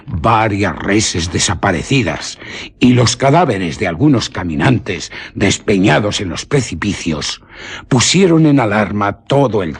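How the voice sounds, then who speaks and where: A man narrating a literary horror tale for radio in theatrical, deliberate, suspenseful phrasing. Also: fairly low pitch, slightly rough.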